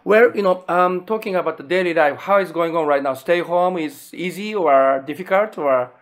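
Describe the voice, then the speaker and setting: A man's voice: high-pitched, moderate pace. A man with a Japanese accent, a native Japanese speaker, interviewing casually in hesitant, non-native English.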